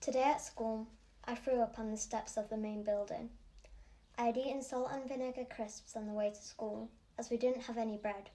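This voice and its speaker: A woman's voice: fairly high pitch, steady tone, normal speed, background noise, lightly acted. A woman playing a solemn, odd eight-year-old Yorkshire girl telling her own story matter-of-factly in an audio drama. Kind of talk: first-person audio drama monologue.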